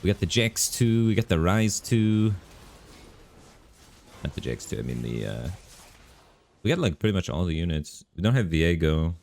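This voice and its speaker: A man streaming a game live, talking in a relaxed, rambling way with lots of fillers, his voice bassy on a studio microphone at his home setup. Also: moderate pace, vocal fry, long pauses. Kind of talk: casual live-stream commentary. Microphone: studio microphone through an audio interface.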